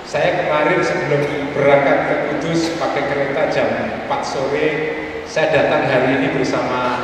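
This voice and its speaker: Guy cleric giving a joking talk, short phrases w pauses, super echoey hall, mic far away. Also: mid-range voice, little pitch movement, background noise. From Indonesia. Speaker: Islamic cleric, guest speaker. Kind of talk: informal public talk with anecdotes and humour. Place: large echoing hall at a public forum.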